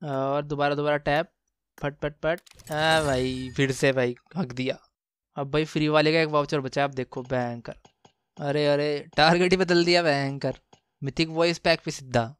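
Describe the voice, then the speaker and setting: A man hosts a gaming video in a fairly high, clean voice. He commentates casually and excitedly in slang, exclaiming and repeating phrases for emphasis. The recording is dry and close. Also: moderate pace, clean tone, no room sound. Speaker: gaming channel host.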